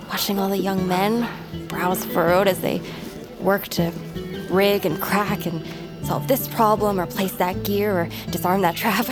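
A woman playing a kind, demure young market woman in a fantasy audio drama, gentle and formally spoken. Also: lowish voice.

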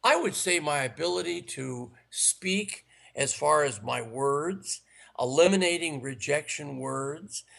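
A man with a raspy, worn voice from long speaking, earnestly giving sales and management advice in an interview. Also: on the high side, lively intonation, takes it slowly, a bit bright. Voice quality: gravelly. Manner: earnest, instructive, listing points. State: raspy, tired voice. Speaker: sales trainer guest.

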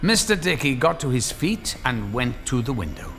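A man's voice: medium pitch, sing-song, moderate pace, a bit bright, faint music behind. A man narrating a scripted dramatic story as the narrator of an audio drama.

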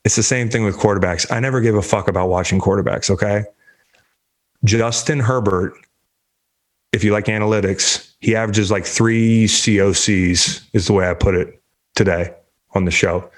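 A man with a fairly low voice riffs on sports in a loose, rambling way. He swears and cracks jokes as he goes. He sounds a little congested. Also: steady tone, moderate pace. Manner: profane, rambling, joking. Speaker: sports show host. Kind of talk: casual sports talk.

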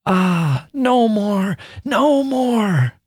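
A man putting on an anxious tender woman, hushed. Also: sits high, expressive, a little slow, no echo, clean studio sound, voice pushed up. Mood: pleading. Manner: hushed pleading.

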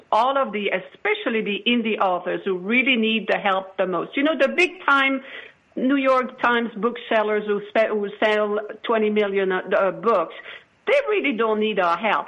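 A woman speaking as an interview guest in an earnest, conversational, somewhat halting way, repeating phrases as she goes. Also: mid-range voice, fairly bright tone, highs cut off. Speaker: podcast guest, promoter of authors.

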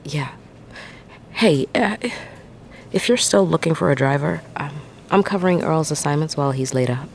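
A woman's voice: deep voice, moderate pace, gravelly, a little mellow. A stubborn, worried woman trucker in an audio drama. She is hesitant but blunt and sounds worn down. A faint noisy ambience sits behind her. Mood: hesitant, subdued. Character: determined, worried woman long-haul trucker, stubborn and weary. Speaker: actor in an audio fiction drama.

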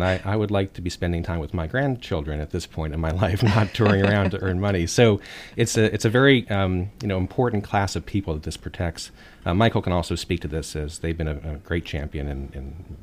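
A man's voice: low-pitched, slight background noise. A man who advocates for musicians in policy work, telling anecdotes on a podcast panel. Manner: anecdotal, warm storytelling with laughs.

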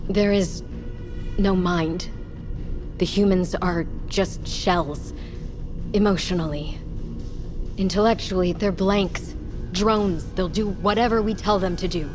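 Guarded disgraced geneticist, low female voice, clipped and grave, music underneath. Audio drama. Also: fairly even intonation, takes it slowly, long pauses. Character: guarded, remorseful woman geneticist, disgraced company founder. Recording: clear music bed underneath.

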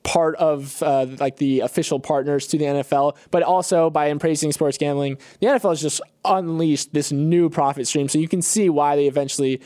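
A man's voice: a little high, fairly even intonation, a little fast, few pauses, warm tone, no room sound. An American man co-hosting a podcast, chatting casually about the news with his partner. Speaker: podcast co-host. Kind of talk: casual news banter with co-host.

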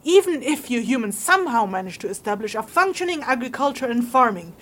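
A woman's voice: on the high side, big pitch swings, brisk, a bit bright. A woman acting as a stern, practical non-human huntress in a fantasy audio drama, blunt and exasperated with humans.

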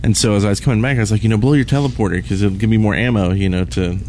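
Low-voiced man chatting over faint noise. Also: steady tone, very fast, darkish tone. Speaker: gaming podcast co-host. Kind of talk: casual anecdotal chat. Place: busy convention hall floor. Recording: noisy convention floor ambience. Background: convention floor background noise.

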